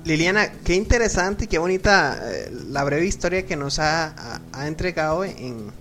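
A man's voice: a little high, animated, normal speed, fairly bright tone, faint music behind. A man presenting and interviewing as a host, polite and formal in his address. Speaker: program host. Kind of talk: radio-style interview and presenting.

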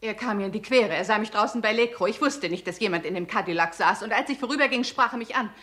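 A woman's voice: medium pitch, quick pace, a bit bright, close to an everyday voice. An actress voices a glamorous fallen female film star in a 1960s radio thriller. She speaks in a mid-range voice, theatrical and sarcastic, bitter and defiant yet worn out. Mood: cold, defensive. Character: embittered glamorous film star confessing to murder, weary and defiant. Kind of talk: 1960s German radio drama acting.

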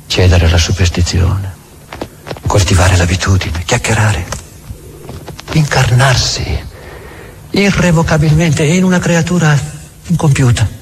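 Un attore che interpreta un diavolo chiacchierone e disincantato, ironico e autoironico, in un monologo teatrale per la radio. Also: male voice, medium pitch, a little hiss or hum. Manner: ironic, musing, conversational. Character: sardonic, chatty devil, world-weary self-mocking parasite. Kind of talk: dramatic stage monologue for radio. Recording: music running under the voice. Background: music.

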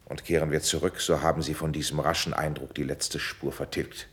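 Wise calm prince, deep voice, commanding but measured, old verse radio play. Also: male voice. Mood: calmly commanding. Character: calm, authoritative prince, fatherly mediator of quarrels. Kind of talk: classical verse stage acting for radio.

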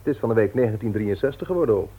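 Frustrated nephew, earnest, low husky voice, narrow-band old radio drama sound. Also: male voice, animated, rapid-fire. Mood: indignant. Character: frustrated young married bookseller, earnest nephew. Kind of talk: 1960s radio drama acting.

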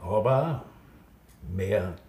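An actor playing a teasing, nostalgic older Austrian former club owner in naturalistic radio drama dialogue. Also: male voice, lowish voice, lively intonation, extremely slow, dark tone, a little hiss or hum. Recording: echoey room.

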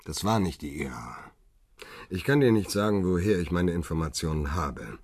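A former militant who stays calm and cold. He speaks in short, firm sentences with a low, husky voice, recorded close and dry. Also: moderate pace, dry recording. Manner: firm, curt, insistent. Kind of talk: radio drama acting.

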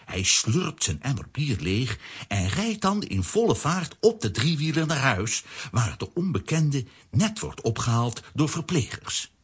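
An actor playing the narrator of a comic adventure in a children's radio play, with a husky voice. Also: male voice, medium pitch, big pitch swings, a little slow, full character voice. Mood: storytelling.

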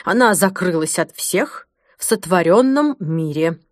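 An elderly male physician played by a woman speaks in a lowered, quiet voice. He is courteous and formal, choosing his words haltingly, like a storybook character conceding defeat in a dry studio. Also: animated, dry recording. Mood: regretful, subdued. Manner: formal, measured, halting delivery. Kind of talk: audiobook character dialogue.

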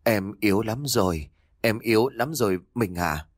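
A man's voice: on the low side, expressive, normal speed, dry recording, clean studio sound. A man voicing a frail dying wife. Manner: faint, whispery.

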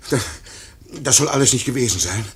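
An actor in a 1950s radio play voicing a broken foreman in his fifties, halting, stammering and repeating himself. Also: medium pitch, animated, a bit bright, slightly rough. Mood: desperate pleading. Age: 50s. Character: broken, pleading 58-year-old aircraft works foreman.

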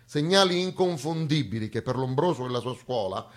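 A stage actor delivers a satirical monologue in a declamatory, mock-solemn manner. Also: male voice, medium pitch, lively intonation, a little fast.

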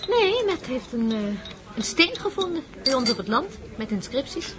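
A woman's voice: high-pitched, very animated, normal speed, crowd noise. A woman acting in a radio drama as a spirited, caring farmer's wife, frank and playful. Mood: chatty.

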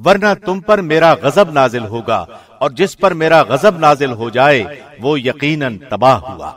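Man reading scripture translation, measured and formal. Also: mid-range voice, expressive, fairly bright tone. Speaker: professional radio presenter reading scripture translation.

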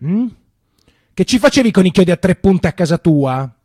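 Man playing a loud, menacing interrogator. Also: sits high, very animated, normal speed, slightly rough, dry recording, studio quality, pitched above the performer's own voice, heavily acted. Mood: menacing, demanding. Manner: badgering, loud questioning. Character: menacing interrogator demanding names of communist comrades. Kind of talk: stage monologue character acting.

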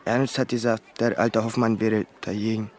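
An actor playing a reluctant, vague, hesitant witness in a German radio crime drama. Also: male voice, on the low side, flat delivery, moderate pace, some chatter behind, natural voice. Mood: reluctant, confiding. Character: evasive, reluctant male witness gossiping to police.

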